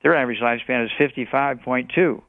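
Elderly man, creaky, on a phone-like line. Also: medium pitch, fast talker, no room sound. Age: 80s. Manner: rambling, list-like repetition. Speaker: guest health supplement promoter. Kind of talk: radio call-in question and answer.

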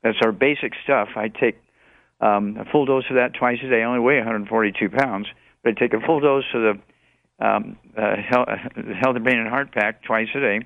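A man in his eighties with a creaky voice over a narrow phone line. He is a radio guest promoting health remedies, rambling along in repetitive, list-like answers. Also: medium pitch, steady tone, normal speed, no room sound. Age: 80s. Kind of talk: radio call-in question and answer.

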